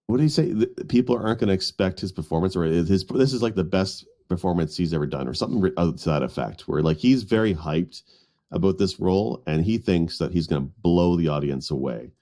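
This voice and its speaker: A man's voice: sits low, lively intonation, brisk, dark tone. A man co-hosting a podcast, sharing his opinions in casual conversation. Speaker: podcast co-host.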